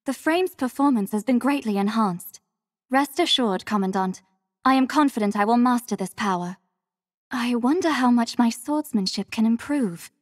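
A woman's voice: fairly high pitch, animated, moderate pace, dry recording, clean studio sound. A woman voicing a courteous, devoted female android swordswoman captain in a video game, formal and earnest in manner. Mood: composed, courteous. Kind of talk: scripted video game character lines.

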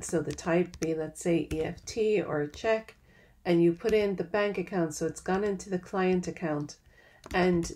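Woman, lowish voice, patient step-by-step software walkthrough, keyboard typing behind. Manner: step-by-step instructional, plain and patient. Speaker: software trainer. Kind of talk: screen-recorded software tutorial.

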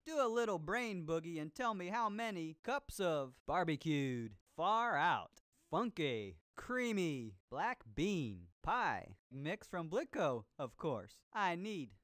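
A man's voice: fairly high pitch, very animated, takes it slowly, hardly any pauses, a bit bright, no room sound, clean studio sound, full character voice. A man playing an upbeat, groovy disco cooking show host in an educational game, with exaggerated jive talk and showy delivery. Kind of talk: scripted educational game character performance. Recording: music behind the voice.

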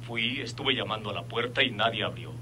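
A man's voice: on the low side, brisk, pushed and bright, a little hiss or hum. A man playing an earnest, respectful son and investigator with a Mexican accent in a radio soap. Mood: grave reporting. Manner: respectful, formal.

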